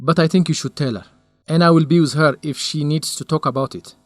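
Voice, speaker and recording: An actor playing a caring, concerned young man in a radio drama, speaking in simple, deliberate phrases. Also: on the high side, fairly even intonation, dry recording, soft background music, natural voice. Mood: dismayed, sympathetic. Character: caring, concerned young male research companion and friend. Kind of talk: English-learning radio drama acting.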